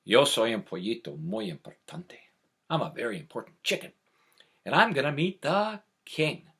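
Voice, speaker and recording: A man's voice: mid-range voice, big pitch swings, normal speed, sharp tone, slightly rough, exaggerated voice. A man voicing a tiny, boastful one-legged boy chicken in a storybook tale, with a bold, self-important manner. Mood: proud.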